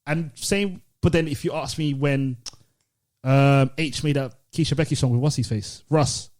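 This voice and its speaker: A man's voice: fairly high pitch, moderate pace, a little mellow. A man with a London accent co-hosting a podcast in a casual, slangy debate style. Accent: British, London. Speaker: podcast co-host.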